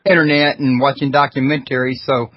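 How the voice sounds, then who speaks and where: A man's voice: mid-range voice, little pitch movement, brisk, no room sound. Easygoing man, dull lo-fi audio. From Houston, Texas. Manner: easygoing, joking. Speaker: talk radio host. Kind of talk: casual radio talk.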